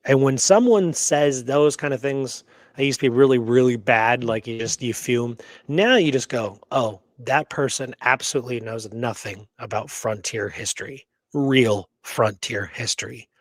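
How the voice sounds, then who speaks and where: A man's voice: mid-range voice, moderate pace, dry recording. A man hosting a casual history discussion, opinionated and emphatic, repeating words for stress. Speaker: host of a history talk show.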